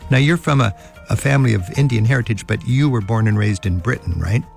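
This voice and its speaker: An older man hosting a travel interview, warmly reminiscing and thinking aloud with small restarts. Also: medium pitch, fast talker, creaky, soft background music. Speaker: travel radio show host. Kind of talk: conversational interview.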